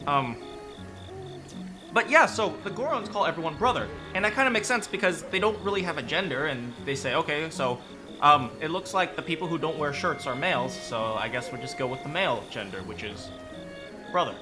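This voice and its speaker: Lively high-voiced man rambling casually. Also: a little fast. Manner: rambling, frequent restarts and "right?" tag questions. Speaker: streamer discussing game lore with chat. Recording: faint music behind.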